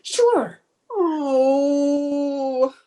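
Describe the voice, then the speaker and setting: A woman's voice: medium pitch, very animated, takes it slowly, a little mellow. A woman playing a scatterbrained, wisecracking 1950s housewife in an old-time radio sitcom, with dry sarcasm and comic exasperation. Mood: tearful, overwhelmed. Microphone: home microphone over video call.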